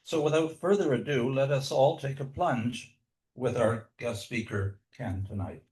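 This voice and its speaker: A man hosting a meeting, introducing the guest speaker in a measured, formal way. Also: medium pitch, darkish tone. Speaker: meeting host.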